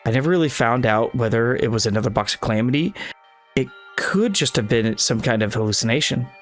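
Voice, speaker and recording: A man's voice: medium pitch, fairly quick, faint music behind. A man reading a visual novel's dialogue and narration aloud for a let's play video, sometimes tripping over lines and correcting himself.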